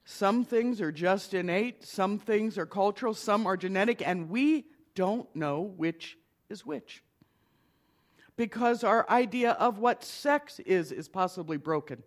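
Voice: mid-range voice, lively intonation, a little slow. A middle-aged minister giving a conversational lecture to an audience, with wry asides.

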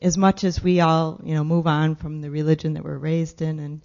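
An older woman sharing a memory with a congregation in a wistful, soft-spoken way. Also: low-pitched, flat delivery, normal speed. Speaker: congregation member. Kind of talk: casual sharing. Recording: dull lo-fi room recording.

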